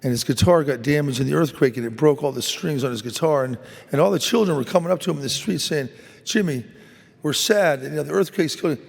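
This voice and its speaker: Gravelly man telling a story in a church. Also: fairly high pitch. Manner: halting, repeats words, earnest. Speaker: mission worker speaking at Mass. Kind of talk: storytelling talk to a congregation. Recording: church hall reverb.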